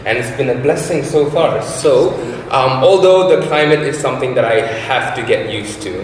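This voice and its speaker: A young man from New Zealand introduces himself at an easy, slow pace, with gentle fillers between his thoughts. His mid-pitched voice rings out in a very echoey hall with a long reverb. A faint hum of noise sits underneath. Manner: slow, unhurried, with soft filler pauses. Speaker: Capuchin brother in formation. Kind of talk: casual self-introduction.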